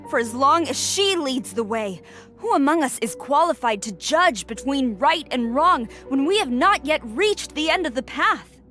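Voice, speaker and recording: A woman's voice: high voice, animated, unhurried, sharp tone, soft background music, exaggerated voice. An actress playing an earnest, dutiful young warrior woman in a video game drama, speaking with solemn, formal intensity. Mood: resolute.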